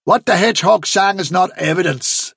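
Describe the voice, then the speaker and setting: Man as a patient, wily rabbit chief, husky. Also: high-pitched, moderate pace, no echo, clean studio sound, voice pushed up, exaggerated voice. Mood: wry. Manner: patient, reasonable, gently condescending. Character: wily male rabbit chief, fatherly, patient, mock-kindly on trial. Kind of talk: storybook character reading.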